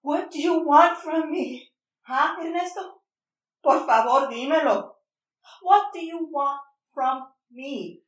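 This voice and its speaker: Defiant, anguished mother female actor, stage drama. High register, measured pace, emotional bilingual delivery, roomy distant sound. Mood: defensive, exasperated. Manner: emotional, defiant, switches between English and Spanish. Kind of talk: intense stage-play reading. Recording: echoey room, distant mic.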